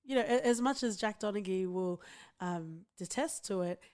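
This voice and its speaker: A woman co-hosting a podcast, chatty and quick to laugh, who swears casually. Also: mid-range voice, darkish tone. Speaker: podcast co-host. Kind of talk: casual chat.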